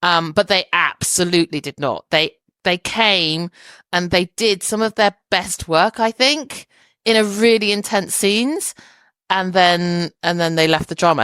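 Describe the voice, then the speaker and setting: A woman's voice: on the low side, a bit bright, no room sound. A woman guest on a podcast in casual chat, thoughtful and hedging, laughing easily.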